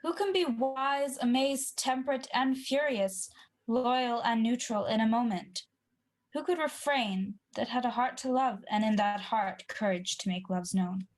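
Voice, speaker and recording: Young woman playing ambitious scheming king in old radio shakespeare, voice on the high side. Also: measured pace, lightly acted. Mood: impassioned. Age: young adult. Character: ambitious, guilty, scheming Scottish usurper king Macbeth. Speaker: student actor. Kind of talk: 1940s radio play Shakespeare acting.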